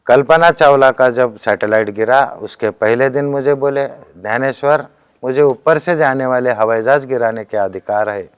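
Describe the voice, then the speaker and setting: A man's voice: medium pitch, moderate pace, clean tone, no room sound. A man with a Deccan Hindi accent giving a spiritual discourse as a teacher, telling accounts earnestly and quoting speech as he goes.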